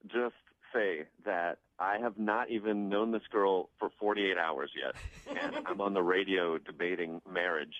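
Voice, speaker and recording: A man's voice: deep voice, steady tone, unhurried, highs cut off. A young man calling in to a radio show, arguing casually and defensively with exasperated, sardonic remarks.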